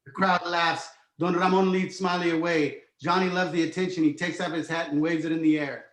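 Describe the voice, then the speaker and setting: A man's voice: on the high side, fairly even intonation, a little fast, fairly bright tone. A man reading stage directions as the narrator of a screenplay table read. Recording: roomy, echoing home recording.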